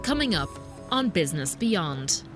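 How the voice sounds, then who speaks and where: Female news narrator, measured scripted read, music bed underneath. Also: lowish voice, sing-song. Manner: measured, explanatory. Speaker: reporter and narrator.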